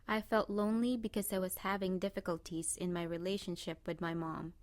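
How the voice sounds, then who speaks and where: A woman narrating a scripted presentation in a measured, read-aloud manner. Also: on the low side, little pitch movement, no echo, clean studio sound.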